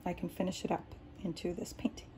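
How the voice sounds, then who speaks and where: Woman, Arabic native speaker, hosting a home-studio painting tutorial; warm, easygoing, rambling delivery. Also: lowish voice, little pitch movement, quick pace, a little hiss or hum. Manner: rambling, many ums and restarts. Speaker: art tutorial host. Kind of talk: casual explaining while working. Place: home art studio, indoors.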